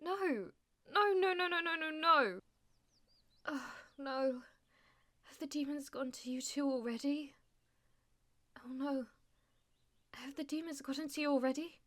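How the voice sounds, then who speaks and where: A woman's voice: high voice, measured pace, long pauses, fairly bright tone. A woman playing a theatrical, delusional schoolgirl in an audio roleplay, speaking in grandiose formal phrases and stammering when flustered. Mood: distressed, dramatic. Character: melodramatic, delusional schoolgirl who fancies herself a demon lord's aide. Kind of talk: ASMR audio roleplay acting.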